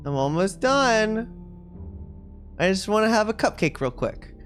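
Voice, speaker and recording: A man's voice: high voice, big pitch swings, unhurried, pitched above the performer's own voice. A man voicing a sweet, possessive, self-aware schoolgirl from a video game in a chatty, cutesy, confiding way. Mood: breezy apologetic. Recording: music playing behind the voice. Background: game music.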